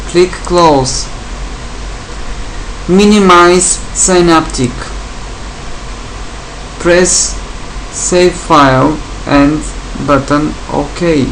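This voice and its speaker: A man from Bulgaria with a Bulgarian accent, English not his first language, giving a software tutorial in short, plain step-by-step instructions. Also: on the high side, creaky, a little hiss or hum, rough recording. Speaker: tutorial presenter. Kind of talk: screen-recorded software tutorial.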